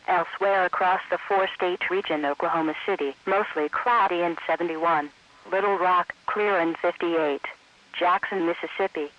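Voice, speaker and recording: An automated male announcer reading scripted weather bulletins in a measured, impersonal synthetic voice. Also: high voice, pushed and bright. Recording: dull lo-fi weather radio stream.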